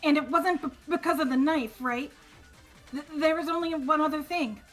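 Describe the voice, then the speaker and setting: A woman's voice: high-pitched, normal speed, fairly bright tone, soft background music. A woman voicing a stammering, haughty, venomous young woman with an obsessive devotion to her master, in a fan-voiced game. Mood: hesitant. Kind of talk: fan-voiced visual novel game acting.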